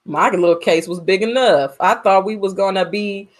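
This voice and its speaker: A woman's voice: medium pitch, normal speed. A woman co-hosting a podcast in casual chat, speaking emphatically and repeating phrases for effect. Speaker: podcast co-host.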